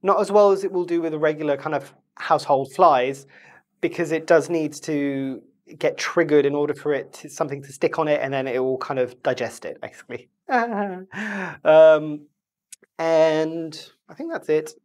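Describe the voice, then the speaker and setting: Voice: medium pitch, normal speed, darkish tone. A plant vlogger with a blocked, stuffy nose, rambling casually and correcting themselves as they go. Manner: rambling, self-correcting, friendly asides. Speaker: houseplant video host. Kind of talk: casual chatty plant review.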